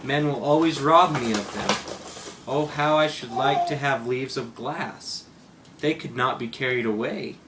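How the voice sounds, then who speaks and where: A man's voice: mid-range voice, unhurried, close to an everyday voice. Man voicing a wistful little pine tree, noisy recording. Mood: regretful. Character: wistful, vain little male pine tree, childlike wisher. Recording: very noisy home recording with clicks.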